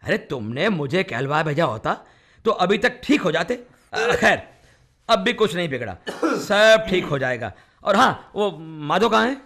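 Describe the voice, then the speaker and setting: An actor playing a bossy, cocksure friend who briskly pushes folk cures in a radio play. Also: male voice, high voice, sing-song, bright, forceful tone, heavily acted. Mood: chiding. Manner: brisk, insistent, chiding. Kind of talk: Hindi radio play acting.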